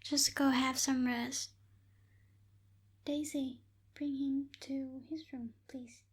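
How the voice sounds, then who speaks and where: Woman playing a slow, soft-spoken aloof witch. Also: high voice, little pitch movement. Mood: soft, dismissive. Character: aloof tsundere night witch, lazy, businesslike young woman. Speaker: voice actor in audio roleplay. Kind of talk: ASMR roleplay, soft spoken.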